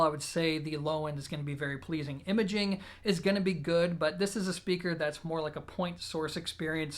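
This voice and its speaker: A man with a slightly high voice, talking through a product review. His delivery is steady and relaxed, explanatory and unhurried in tone. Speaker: audio equipment reviewer.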